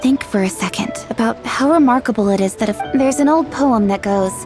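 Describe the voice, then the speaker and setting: A woman voicing a gentle, cultured young lady in a video game, courteous and reflective, with measured phrasing. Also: on the high side, close to an everyday voice. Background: background music.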